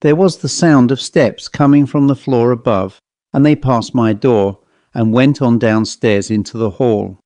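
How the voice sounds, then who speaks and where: A man's voice: medium pitch, measured pace, mellow, no room sound. A man reading a scripted ghost story as an audiobook narrator.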